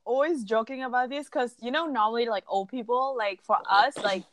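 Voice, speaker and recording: A woman's voice: a little high, a little fast, fairly bright tone. A woman and mother chatting casually, agreeable and chatty, with non-native grammar. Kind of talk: casual chat.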